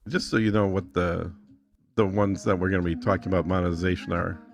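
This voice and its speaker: A man's voice: deep voice, level tone, fairly quick, creaky. A man explaining things casually and with assurance, as a guest in a conversation. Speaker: podcast guest demonstrating software.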